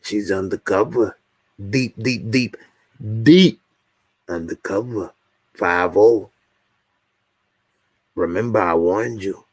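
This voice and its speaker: Joking man in his forties, low voice. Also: slow pace, long pauses, dry recording. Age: 40s. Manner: joking, repeats lines for emphasis. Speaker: YouTube show host. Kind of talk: casual chat to viewers.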